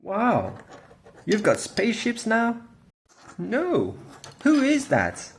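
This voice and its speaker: A man's voice: high-pitched, wildly swooping pitch, extremely slow, a little hiss or hum, voice pushed up, full character voice. A man voicing an astonished, old-fashioned soldier hero in a homemade toy film, wondering and exclaiming. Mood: amazed.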